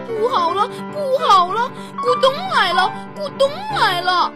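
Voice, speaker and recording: Woman voicing a squeaky, very high frightened little rabbit. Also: sing-song, slow, over loud music, heavily acted. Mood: panicked. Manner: stammering, urgent cries. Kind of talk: children's storybook character voice.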